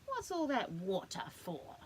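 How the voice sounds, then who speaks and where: A woman's voice: medium pitch, wildly swooping pitch, a little slow, a little hiss or hum. A woman voicing a know-it-all male platypus in a storybook, speaking in a matter-of-fact, advising way.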